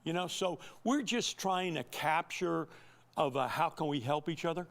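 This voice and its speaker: A man's voice: a little high, moderate pace, vocal fry, fairly bright tone. An older American man talking as a coach being interviewed, in a folksy, rambling way that repeats words as it goes. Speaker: baseball coach and guest. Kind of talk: conversational TV interview.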